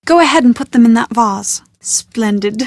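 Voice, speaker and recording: An anxious young British bride-to-be in a mystery video game. Her voice sits fairly high, and she speaks with genteel, articulate earnestness and a touch of drama. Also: expressive, moderate pace, no room sound, studio quality. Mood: polite, earnest. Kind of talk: scripted video game voice acting.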